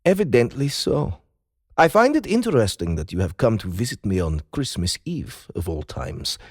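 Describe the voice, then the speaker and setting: A man playing a cold, formal, menacing Russian president with a Russian accent, speaking deliberately in a dramatized audiobook. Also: on the low side, big pitch swings, darkish tone, breathy edge, no echo, clean studio sound, exaggerated voice. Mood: wry, probing.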